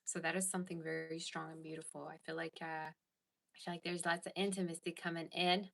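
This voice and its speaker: A woman's voice: sits low, little pitch movement, brisk, vocal fry. A woman giving a casual tarot reading to her viewers, chatting and answering comments as she goes. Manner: chatty, reads live chat aloud. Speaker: tarot reader.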